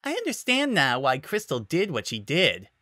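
Casting: man as biting female cat mercenary, raised pitch, sarcastic and aggressive, fan fiction drama. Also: wildly swooping pitch, normal speed, pushed and bright, no echo, clean studio sound, full character voice. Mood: haughty, cruel. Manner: biting, scornful.

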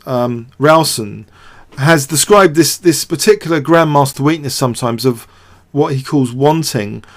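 Higher-voiced man thinking aloud. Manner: hesitant, thinking aloud with repeated words. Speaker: host of a chess show. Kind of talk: off-the-cuff game commentary and analysis.

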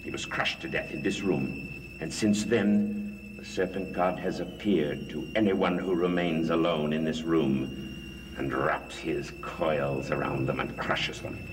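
A menacing middle-aged coven leader with a low voice. He speaks formally and deliberately in vintage gothic soap style, on a noisy, echoing old recording. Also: steady tone. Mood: calm menace. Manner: measured, formal, threatening. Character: sinister, menacing male leader of witch coven. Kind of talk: 1960s gothic soap opera acting. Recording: distant, echoing, hissy old TV soundtrack.

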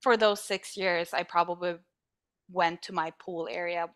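A young woman from northern Sweden with a Swedish accent, chatting as a podcast guest, animated and often laughing. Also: on the low side, a little slow. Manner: animated, laughs often, acts out quoted voices. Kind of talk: casual interview chat.

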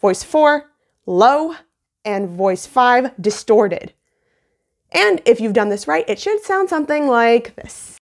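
A woman's voice: fairly high pitch, animated, slow pace. A young adult woman hosting a casual video tutorial in a playful, self-deprecating manner, recorded on a phone in a room at home. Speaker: tutorial host. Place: small indoor home room. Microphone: phone on a mount, about arm's length or a little more.

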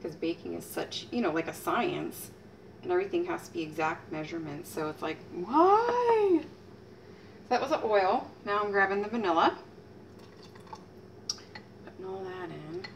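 A woman in her thirties, chatting casually as she demonstrates a recipe in her home kitchen, teasing herself and letting out lively exclamations. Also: fairly low pitch, normal speed, gravelly, pauses often. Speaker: home cooking channel host. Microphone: fixed camera mic at a distance. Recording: distant camera mic with faint room noise.

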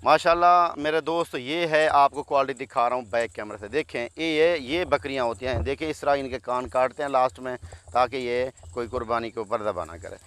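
A man's voice: mid-range voice, fairly quick, fairly bright tone, clear, resonant tone. A man in his thirties from Pakistan who runs a goat farm, chatting like a salesman as he walks viewers through his herd in an open field, recorded on a phone held at arm's length. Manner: chatty salesman, repeatedly addressing friends. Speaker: goat farm owner and seller. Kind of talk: casual sales walkthrough vlog. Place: open harvested field outdoors.

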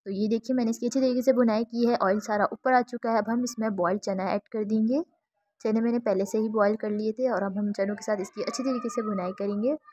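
A woman's voice: fairly high pitch, fairly even intonation, a little fast, a little mellow, dry recording. A woman hosting a cooking tutorial, talking through each step in an easygoing, chatty way. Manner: easygoing, chatty, mixes English words into Hindi-Urdu. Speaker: cooking channel host.

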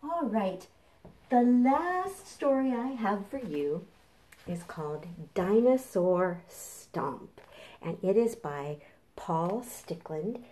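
Middle-aged woman hosting storytime, slow-paced. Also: medium pitch, big pitch swings, dark tone. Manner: simple, encouraging talk aimed at small children. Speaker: children's librarian hosting storytime. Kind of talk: preschool storytime presenting, addressing children and parents. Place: home kitchen, indoors. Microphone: camera a short distance away.

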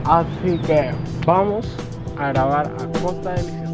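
A young adult man, presenting a vlog casually outdoors, pausing between short phrases. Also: fairly high pitch, over loud music. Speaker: vlogger. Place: outdoors on a rooftop above a city.

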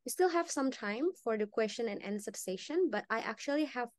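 A woman's voice: on the high side, animated, no room sound. A woman moderating a lecture Q&A over a video call, asking questions hesitantly with many fillers. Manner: hesitant, fills pauses with uh and like. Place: indoors.

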